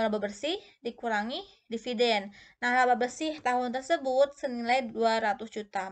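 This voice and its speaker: A woman giving an explanatory tutorial as an instructor, going step by step and posing questions that she then answers herself. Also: on the high side. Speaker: accounting instructor.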